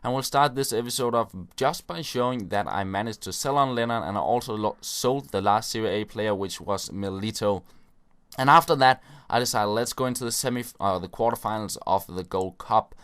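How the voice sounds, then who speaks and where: A man's voice: fairly low pitch, fairly quick, a bit bright, clean tone. A man hosting a gaming video, giving casual play-by-play commentary on his own matches with frequent filler words.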